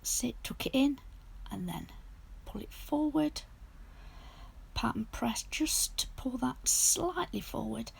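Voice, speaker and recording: A woman with a croaky, sore-throated voice, softly narrating a nail art tutorial as the channel's host. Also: sits high, slow, long pauses. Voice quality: husky, gravelly voice. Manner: soft, half-whispered step-by-step narration.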